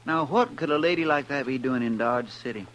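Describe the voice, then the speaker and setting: An actor playing an eager, talkative deputy in a 1950s radio western, with a rustic, folksy way of speaking. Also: male voice, medium pitch, fairly quick, a bit bright, close to an everyday voice. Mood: eager, admiring. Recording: noisy 1950s radio recording.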